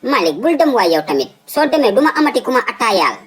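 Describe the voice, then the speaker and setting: A man's voice: very high voice, very animated, fast, fairly bright tone, breathy edge, heavily acted. A man voicing a fussy, stubborn old father in a comic cartoon, with a Senegalese accent, exclaiming and repeating his words emphatically. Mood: alarmed, pleading.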